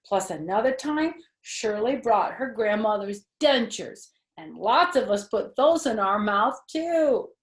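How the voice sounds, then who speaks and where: A woman voicing a brash, excitable little girl who talks loud, drawn-out and boastful. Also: fairly high pitch, echoey room, pitched above the performer's own voice. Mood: whiny.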